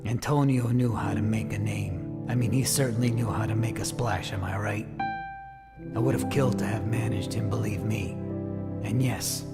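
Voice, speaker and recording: A gravelly, creaky showbiz wiseguy, glib and cynical, speaking at middling pitch. It is noir audio drama with loud music under his wry banter. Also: fairly even intonation, normal speed. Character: glib, wisecracking showbiz man, breezy and cynical.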